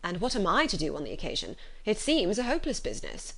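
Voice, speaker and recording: Lady doing a calm deadpan dad from an old novel, dry irony, formal, unhurried. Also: medium pitch, gravelly, full character voice. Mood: unconcerned. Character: calm, dryly ironic middle-aged father.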